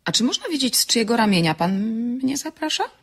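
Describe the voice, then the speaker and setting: An actress playing a stubborn, inquisitive amateur sleuth with a wry, ironic way of talking in a crime story. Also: female voice, fairly high pitch, sing-song, fast talker, fairly bright tone, breathy edge, dry recording, studio quality, full character voice. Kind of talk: dramatized crime novel.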